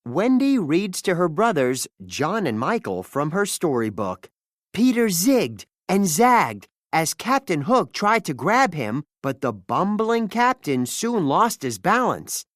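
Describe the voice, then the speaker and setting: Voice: medium pitch, sing-song, slow pace, a bit bright, no echo, studio quality. A storybook narrator reading a scripted children's tale expressively, acting out the dialogue.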